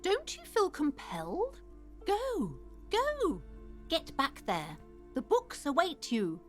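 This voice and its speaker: A man voicing a pushy, insistent female shopkeeper in a high falsetto while reading game dialogue aloud. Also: wildly swooping pitch, takes it slowly, a bit bright, soft background music, full character voice. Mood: coaxing. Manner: high falsetto, swooping, coaxing and bossy.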